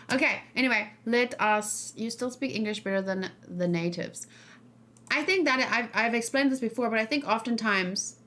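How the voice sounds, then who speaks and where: A woman's voice: mid-range voice, a bit bright. Casual female streamer, English speaker. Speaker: streamer reacting to game trailers. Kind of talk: casual livestream chat.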